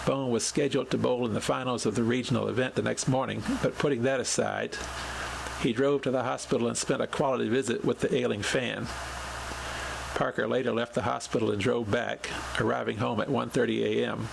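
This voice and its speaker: Male podcast host, heavily creaky voice, measured scripted storytelling, audible noise behind. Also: medium pitch, steady tone. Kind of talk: scripted narration, reading a written account.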